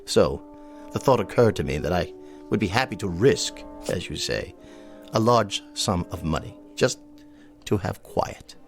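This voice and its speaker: Deep-voiced, haughty old colonel. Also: male voice, sing-song, a little slow, soft background music, heavily acted. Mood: cold contempt. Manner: formal, precise, disdainful delivery. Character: haughty, contemptuous wealthy old club colonel. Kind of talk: radio drama acting.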